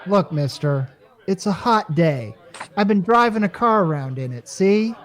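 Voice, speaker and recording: Man acting a high-voiced, wisecracking weary repairman. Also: expressive, unhurried, fairly bright tone, some chatter behind. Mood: weary, irritated. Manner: sarcastic, exasperated, slangy. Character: weary, wisecracking working-class male repairman. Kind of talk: radio comedy acting.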